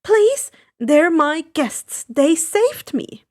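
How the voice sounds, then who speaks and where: A woman voicing a gentle middle-aged mother in a story reading, earnest and pleading. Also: sits high, sing-song, extremely slow, dry recording, clean studio sound, pitched above the performer's own voice, full character voice.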